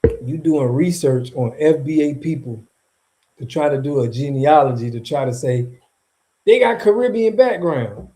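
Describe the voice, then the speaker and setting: A man talks loosely to his chat in a livestream, animated and mocking, full of slang and quick laughs. His voice sits a little high for a man, with a soft, mellow tone. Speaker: streamer reacting to viewer chat. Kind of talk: casual livestream commentary.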